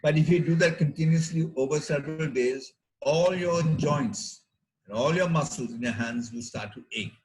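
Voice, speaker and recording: A middle-aged man with an Indian accent delivers a health lecture as a doctor. His pitch is average and his pace is slow. He explains things in measured phrases, pausing deliberately between them. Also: poor audio. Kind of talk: health talk to teachers.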